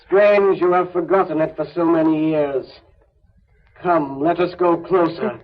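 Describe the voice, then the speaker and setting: A man's voice: a little high, fairly even intonation, measured pace, natural voice. A man playing a solemn, ethereal, ageless spirit, part child and part old man, in an old-time radio drama. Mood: grave, probing. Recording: dull, faintly noisy old radio recording.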